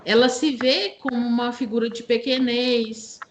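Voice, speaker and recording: A woman in an online book club who analyzes a story in a measured way. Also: a little high, steady tone, slight background noise. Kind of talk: casual literary discussion. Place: home, online video call.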